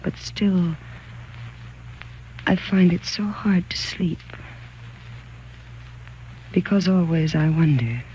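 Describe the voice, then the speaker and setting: An actress playing a troubled, spellbound woman in a 1940s radio thriller, speaking in a slow, subdued way. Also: fairly low pitch, warm tone. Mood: haunted, uneasy. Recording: dull, noisy 1940s radio recording.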